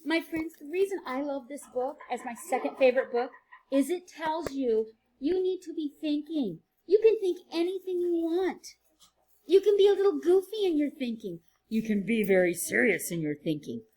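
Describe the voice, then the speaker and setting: A woman with a high voice reads a picture book to young children as their teacher. Her delivery is playful and sing-song, swinging widely in pitch. She moves between expressive reading and softer, chatty asides to her listeners. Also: normal speed, a little hiss or hum, poor audio. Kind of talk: animated children's read-aloud with chatty asides.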